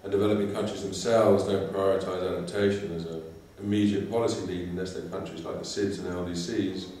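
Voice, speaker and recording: A man's voice: deep voice, flat delivery, brisk, dark tone. A blunt, exhausted man speaking as a climate adaptation official, swearing freely in an interview. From United Kingdom. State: exhausted from lack of sleep. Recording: echoey room, voice from far off.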